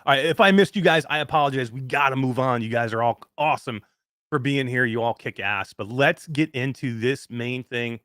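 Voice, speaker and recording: A man of fifty hosting a live show in casual chat, reading out greetings with excitement. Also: medium pitch, a little fast, no room sound, studio quality. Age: 50s. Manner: excited, animated chat shout-outs. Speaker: host.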